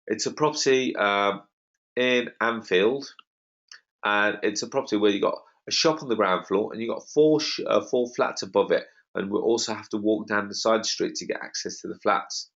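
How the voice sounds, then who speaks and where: A man hosting an online property webinar, slightly croaky, talking in a confident and emphatic sales manner. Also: fairly low pitch, normal speed, a bit bright. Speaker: webinar host. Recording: dull lo-fi web call audio.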